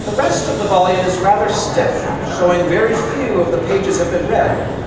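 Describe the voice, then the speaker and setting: A man playing a shrewd, composed, dryly witty detective in a theatrical old-time radio drama, speaking deliberately. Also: high-pitched, over a noisy crowd, lightly acted. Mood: analytical, intrigued. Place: live stage show in a large hall. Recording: echoey hall, far from mic, loud noise.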